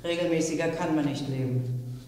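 An actress playing a stern, suspicious, fussy middle-aged housewife in an old radio crime drama, curt and insistent. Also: female voice, sits low, normal speed, mellow, far from the mic, a little hiss or hum, close to an everyday voice. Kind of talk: 1950s-80s German radio crime drama acting. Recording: very echoey, reverberant old radio play.

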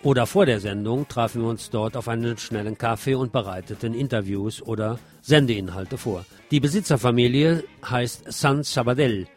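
A male radio host reads scripted commentary and news in a mid-pitched voice. Faint guitar music plays behind him as he presents. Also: moderate pace, few pauses.

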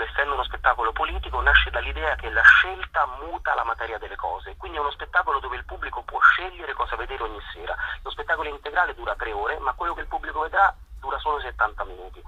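A man's voice: mid-range voice, bright, forceful tone. A man interviewed by phone as a theatre artist, explaining his work earnestly and with some hesitation. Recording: muffled, rough telephone line.

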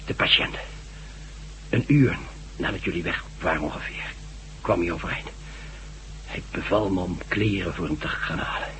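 Deep-voiced, shaken, halting doctor. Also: male voice, a bit bright, a little hiss or hum, rough recording. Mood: shaken, weak. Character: shaken male doctor, weakened and dazed after mind control. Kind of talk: Dutch radio drama acting.

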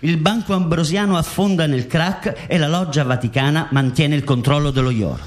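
A man's voice: fairly high pitch, moderate pace. A man narrating a scripted documentary radio drama in a formal, declarative way.

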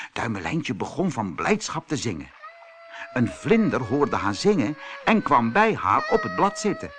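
Slow, very animated male storyteller, slightly husky. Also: mid-range voice. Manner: expressive, deliberate storytelling. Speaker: storyteller, audiobook reader. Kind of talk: scripted fairy tale narration for children.

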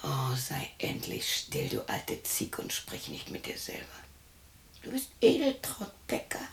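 An actress in a radio play playing a lonely sixty-year-old widow who murmurs to herself in a breathy voice, by turns tender and grumbling. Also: female voice, rumbling low, a little hiss or hum, full character voice. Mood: bitter, self-scolding. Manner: murmured self-talk, swinging between cooing and scolding. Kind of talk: radio play monologue, intimate acting.

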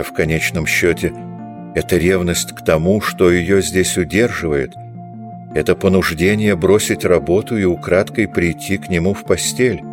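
A man narrating a dramatic literary audiobook with measured, deliberate phrasing. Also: sits low. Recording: music behind the voice.

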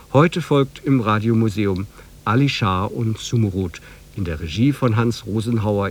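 A man presenting a radio programme, reading a scripted, informative introduction. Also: lowish voice.

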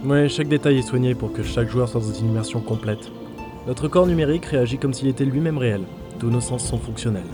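A man acting as a gruff but helpful fantasy ranger, reserved and calm, who explains things like a seasoned guide. His voice sits at a medium pitch with music clearly playing behind it. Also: brisk, natural voice. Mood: calm, explanatory. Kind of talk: audio drama dialogue.

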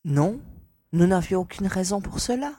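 A woman playing a composed young lady, a scientist's daughter, giving formal, precise testimony in an audiobook drama, slightly husky. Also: medium pitch, animated, moderate pace, dark tone, dry recording, studio quality, close to an everyday voice. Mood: composed, reserved. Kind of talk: audiobook character acting.

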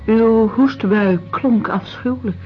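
Eager idealist, slow-paced, music behind. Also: female voice, a little high. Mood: attentive. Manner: short, probing questions and firm replies. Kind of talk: radio drama acting. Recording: dull lo-fi radio drama recording.

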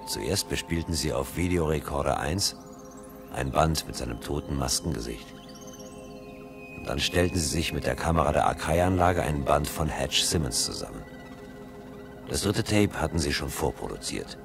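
An actor playing the first-person narrator of a science fiction radio play, in measured, deliberate scripted narration. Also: male voice, extremely low pitch, lively intonation, gravelly, full character voice. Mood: measured, intent. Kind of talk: scripted narration, 1970s German radio drama. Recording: electronic music under the voice. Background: electronic music.

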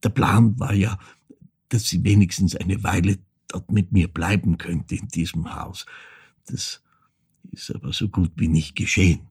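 Husky older man, remembering. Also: deep voice, steady tone, a little mellow, dry recording, clean studio sound, full character voice. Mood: reflective, haunted. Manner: halting, reflective, repeats words, drops to near whisper. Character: older man haltingly recalling his lost, troubled childhood. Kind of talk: intimate first-person monologue in a radio play.

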